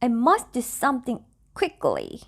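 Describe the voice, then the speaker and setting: A woman with Korean as her native language voicing a stern, righteous sultan from a folk tale, speaking in slow, emphatic phrases. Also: fairly high pitch, wildly swooping pitch, fairly bright tone, exaggerated voice. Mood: determined.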